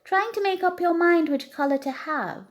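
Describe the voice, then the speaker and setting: A woman's voice: very high voice, moderate pace, pitched above the performer's own voice. A woman voicing a kindly old male badger shopkeeper in a children's storybook, friendly and obliging. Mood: helpful.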